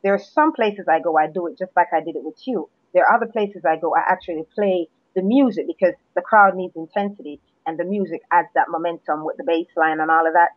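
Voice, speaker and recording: A woman from Jamaica, a guest poet, chatting in an interview and telling her story in a relaxed way with restarts, heard over a phone line. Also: fairly low pitch, fast talker, few pauses, no room sound. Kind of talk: casual interview chat. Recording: tinny phone line, dry.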